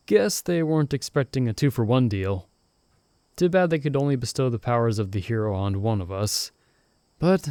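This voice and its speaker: Casting: a man as upbeat young fantasy mage, loyal best-friend type, soft mellow tone, close dry sound, casual ASMR roleplay. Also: mid-range voice, dry recording, natural voice. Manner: casual, chuckling, reassuring. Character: cheerful, caring young male friend, novice mage in fantasy world. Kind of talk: ASMR roleplay, intimate one-sided conversation.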